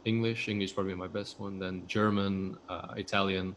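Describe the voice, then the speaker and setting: A man's voice: deep voice, a little fast, a little hiss or hum. A man whose first language is Dutch, talking casually as an interview guest and often saying "like". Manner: relaxed, uses "like" often.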